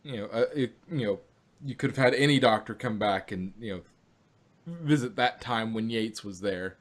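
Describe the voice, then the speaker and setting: A man's voice: fairly low pitch, moderate pace. Guy on a podcast rambling on, lots of you know and uh. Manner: rambling, many fillers and restarts. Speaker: podcast co-host. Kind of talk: casual fan discussion.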